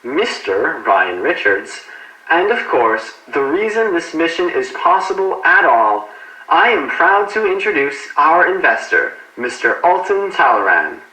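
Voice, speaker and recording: Guy playing a formal spaceship captain, lively pitch swings, kinda high voice, roomy echo. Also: a little slow, heavily acted. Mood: formal, proud. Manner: formal, commanding, encouraging. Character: composed, courteous male spacecraft captain. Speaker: ship's captain. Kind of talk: analog horror space audio drama.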